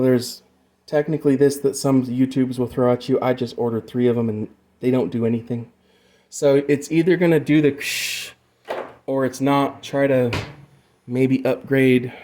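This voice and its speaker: A man's voice: mid-range voice, dark tone. A man giving a casual hands-on tutorial about music gear, rambling and loosely explaining as he goes, with some swearing. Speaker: amateur music gear demonstrator.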